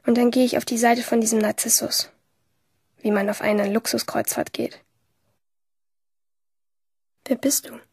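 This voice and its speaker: An introspective teenage schoolgirl in a radio drama. Her voice is on the high side and breathy, intimate and moody, as if thinking to herself. It is recorded dry and close, with faint music underneath. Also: no echo, heavily acted. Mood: intrigued, flustered. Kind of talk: first-person radio play monologue.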